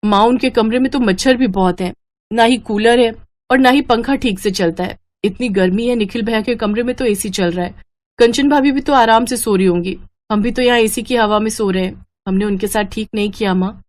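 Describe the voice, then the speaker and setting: A woman's voice: fairly high pitch, fairly quick, lightly acted. A woman voicing a kind, earnest young daughter who worries about her family. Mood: troubled.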